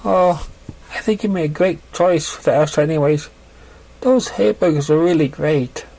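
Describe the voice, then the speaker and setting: A friendly, chatty mare from a pony storybook, voiced by a man, speaks in a raised, high pitch. She sounds like an easygoing girl among friends. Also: normal speed, gravelly, mellow, poor audio.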